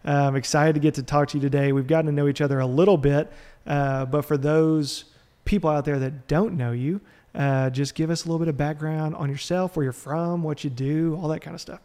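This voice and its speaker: A man's voice: a little high, steady tone, brisk, dark tone. A man hosting a podcast, friendly and upbeat as he questions his guest. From Arkansas. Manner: friendly, upbeat, self-deprecating. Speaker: podcast host. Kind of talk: casual interview chat.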